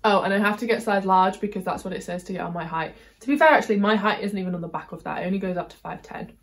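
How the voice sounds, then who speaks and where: A woman's voice: medium pitch, very fast. A woman in her twenties with a British accent, chatting casually through a fashion haul in a bubbly, rambling way and filling her talk with "like" and "kind of". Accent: British English. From United Kingdom. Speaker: beauty and fashion vlogger.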